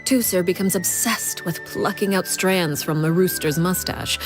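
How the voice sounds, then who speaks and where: Woman playing a low-voiced, coolly menacing commanding woman. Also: over music, natural voice. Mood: dryly amused. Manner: measured, formal, dry. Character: composed, formidable woman leading an orphanage organization, coolly menacing. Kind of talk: video game voice acting.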